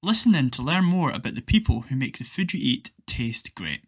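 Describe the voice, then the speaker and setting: A man reading the scripted opening of a podcast in a smooth, read-out way. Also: mid-range voice, big pitch swings, a little fast. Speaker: show announcer. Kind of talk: scripted intro.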